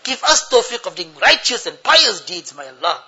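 A man's voice: very high voice, very animated, moderate pace, pushed and bright. An older man leads a tearful congregational prayer, pleading and repeating each plea with a refrain, his voice choked with tears. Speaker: imam leading a supplication. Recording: dull lo-fi recording.

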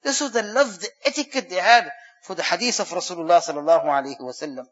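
A man preaching a sermon, telling stories, who swings between loud emphatic phrases and hushed quiet ones and quickly chants Arabic verses. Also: high voice, dull audio. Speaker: Islamic preacher. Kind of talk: sermon storytelling.

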